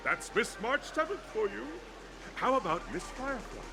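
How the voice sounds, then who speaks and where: A man's voice: sits high, big pitch swings, moderate pace, gravelly, music in the background, full character voice. A man voicing a flamboyant, effusive festival host and drink tycoon in a game, announcing in a grandiose theatrical style. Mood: exuberant.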